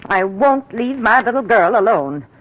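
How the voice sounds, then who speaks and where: A woman's voice: a little high, animated, a little slow. A domineering older mother-in-law who is prim and possessive, always needling her son-in-law. The sound is muffled, like an old radio broadcast. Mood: menacing. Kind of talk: 1940s radio acting.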